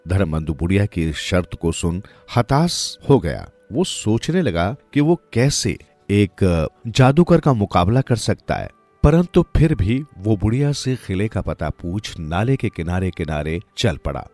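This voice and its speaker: A man's voice: deep voice, sing-song, measured pace, darkish tone, faint music behind. A man narrating a moral folk tale from a script, as a storyteller.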